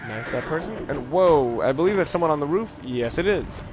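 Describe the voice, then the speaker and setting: A man's voice: on the high side, animated, brisk. A man giving casual, unscripted video game commentary as a let's play host, rambling into musing, dryly humorous asides. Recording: clear background noise under the voice.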